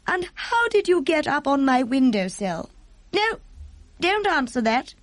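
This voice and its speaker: A regal storybook Queen, played by a woman reader. Her voice sits high and she speaks in clipped, imperious phrases, sharp and commanding, yet poised. The sound is close and dry. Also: very animated, measured pace, dry recording. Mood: composed, curious.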